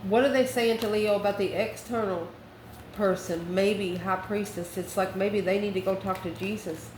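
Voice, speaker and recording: A woman's voice: mid-range voice, steady tone, normal speed. A woman giving an improvised tarot reading to viewers, thinking aloud conversationally in short phrases. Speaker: tarot reader, channel host. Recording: roomy echo, faint background noise.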